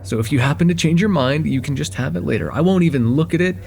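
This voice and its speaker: A man's voice: on the high side, fast, creaky, darkish tone, slight background noise. A man playing a friendly, chatty young guy at a cafe in a casual, slightly hesitant conversational ASMR roleplay. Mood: friendly, curious.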